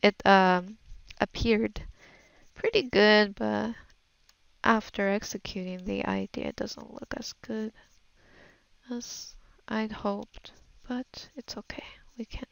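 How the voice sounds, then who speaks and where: Woman, slow pace, creaky vocal fry, casual tutorial voice-over, thinking aloud, hesitant murmuring. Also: mid-range voice, fairly even intonation, no room sound, rough recording. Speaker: digital art tutorial narrator.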